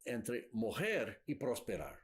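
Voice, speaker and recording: A man's voice: medium pitch, wildly swooping pitch, slow. A man interpreting a sermon, hesitant and searching for words.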